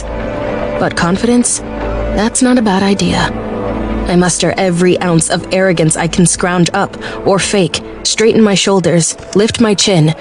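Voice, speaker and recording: An actress playing a determined, anxious young military cadet, narrating a fantasy audiobook drama in the first person. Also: female voice, fairly low pitch, lightly acted. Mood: tense determination. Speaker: lead narrator. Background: music.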